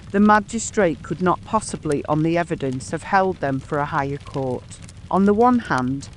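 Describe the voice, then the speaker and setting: Casting: male audiobook narrator, slightly high voice, measured literary storytelling, ambient noise behind him. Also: rough recording. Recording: clear background ambience noise.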